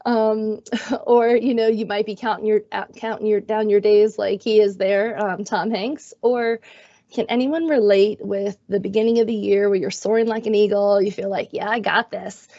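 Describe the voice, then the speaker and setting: A middle-aged woman with a raspy, hoarse voice from being sick, presenting in a friendly, self-deprecating way on a video call. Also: mid-range voice, steady tone, normal speed, dark tone, no echo. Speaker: guest presenter, magnet schools coordinator. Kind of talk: webinar presentation. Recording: dull, dry video-call audio.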